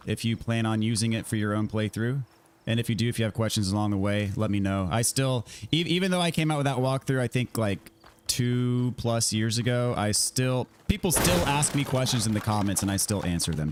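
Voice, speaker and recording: A man with an American accent chatting casually to viewers while he plays a video game, his voice a little raspy from a scratchy throat. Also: lowish voice, fairly quick. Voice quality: slightly raspy. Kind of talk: casual livestream chat answering viewers while gaming.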